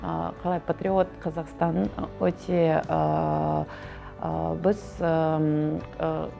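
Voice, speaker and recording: Halting low-voiced woman with creaky voice. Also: level tone, dark tone. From Russia. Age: 30s. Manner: halting, searching for words, mixing in Russian words. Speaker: interviewee, journalist and eco volunteer. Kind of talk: informal interview talk. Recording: music behind the voice. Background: background music.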